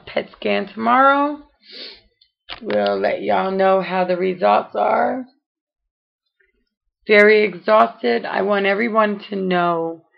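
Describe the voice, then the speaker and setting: Raspy, weary woman speaking slowly. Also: medium pitch. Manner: slow, weary talk with many pauses and fillers. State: tired and in pain. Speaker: vlogger. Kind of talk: personal video diary. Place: dim room at home at night. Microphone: webcam close up. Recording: dull lo-fi webcam audio.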